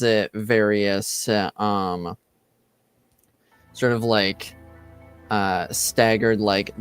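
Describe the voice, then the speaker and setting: A low-voiced man acts as game master for a tabletop game. He talks at an unhurried pace, halting often as he searches for words, with faint music underneath. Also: smooth. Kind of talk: narrating a tabletop roleplaying game.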